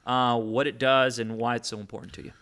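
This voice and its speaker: A man's voice: medium pitch, fairly even intonation, fairly quick, a bit bright, clear, resonant tone, dry recording. A man hosting a sports podcast from a small audio booth, chatty and upbeat with rambling asides. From United States. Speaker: podcast host. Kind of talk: casual podcast intro and interview.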